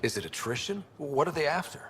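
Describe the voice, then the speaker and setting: An actor playing an earnest young human explorer and leader in a video game, with a sincere, conversational delivery. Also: male voice, a little high, very animated, fast, a bit bright, slight background noise. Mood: concerned. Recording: faint background ambience.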